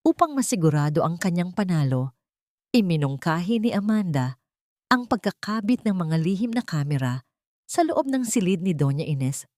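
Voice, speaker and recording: A woman's voice: fairly low pitch, big pitch swings, normal speed, warm tone, no room sound, studio quality. A woman reading dramatic scripted narration for an audio drama story.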